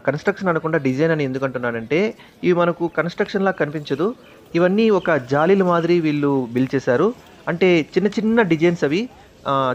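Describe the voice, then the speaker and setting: A man's voice: on the high side, muffled recording. A young man with a Telugu accent narrating a travel vlog in an informative way, stopping often on "aa" fillers and mixing in English words. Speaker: travel vlogger.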